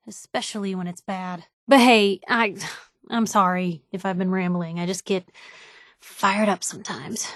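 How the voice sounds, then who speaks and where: A woman's voice: on the high side, dry recording, clean studio sound, natural voice. A woman voicing a sardonic, outspoken young newspaper reporter companion in a video game, in a wry conversational way.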